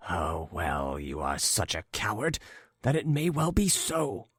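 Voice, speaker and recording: A man reading an audiobook, voicing a scornful young man who speaks in hushed, urgent tones. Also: low-pitched, very animated, a little slow, breathy edge, no room sound, clean studio sound, full character voice. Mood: tense. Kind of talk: audiobook character voice.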